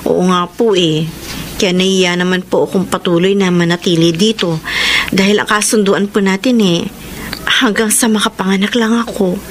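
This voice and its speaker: A woman's voice: mid-range voice, fairly even intonation, normal speed, lightly acted. An actress playing a humble, wistful young single mother in a Filipino radio drama, with sincere, emotional delivery. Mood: humble, hesitant. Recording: noisy radio drama broadcast sound.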